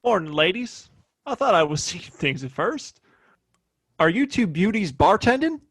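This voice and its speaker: A smug, domineering American sheriff of the Old West, acted by a man in a radio-style Western play. His voice sits a little high, and the sound is dry and close. He talks in folksy phrases like someone who owns the town. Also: big pitch swings, measured pace, fairly bright tone, slightly rough, no echo, heavily acted. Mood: smug flirting. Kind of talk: Western audio play acting.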